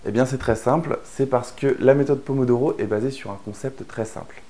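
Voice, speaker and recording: A man's voice: medium pitch, brisk, warm tone. A man from France explaining a productivity method in a chatty online lesson, with frequent fillers and restarts. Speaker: online course instructor. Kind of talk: explanatory course narration.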